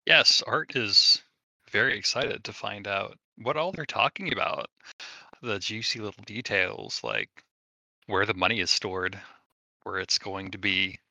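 A man's voice: fairly low pitch, animated, a little slow, gravelly, sharp tone, dry recording, low-quality recording. A man chatting casually as a player in a tabletop roleplaying game.

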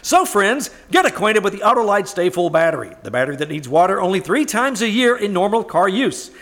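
An actor playing a polished old-time radio announcer with an emphatic, formal delivery. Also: male voice, sits high, big pitch swings, fairly quick, a bit bright. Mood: upbeat salesmanship. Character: polished radio pitchman and news bulletin announcer.

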